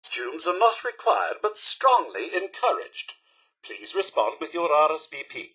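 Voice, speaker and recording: Posh-polite british robot butler from a game, bright high voice, swoops all over, super theatrical. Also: male voice, very animated, unhurried, slightly rough, exaggerated voice, rough recording. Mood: polite, chipper. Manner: formal, overly courteous, theatrical. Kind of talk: theatrical video game character acting.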